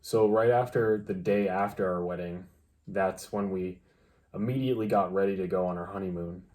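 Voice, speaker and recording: A man's voice: low-pitched, moderate pace, loud music behind the voice. A young adult man co-hosting a vlog, telling a story casually in a laid-back, plain-spoken way. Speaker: vlog co-host.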